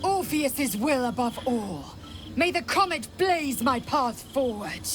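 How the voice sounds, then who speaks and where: A woman's voice: high voice, animated, slow pace, background noise. An actress playing a proud, fierce alien warrior woman in a video game, solemn, formal and intense. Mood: solemn resolve. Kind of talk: dramatic video game acting.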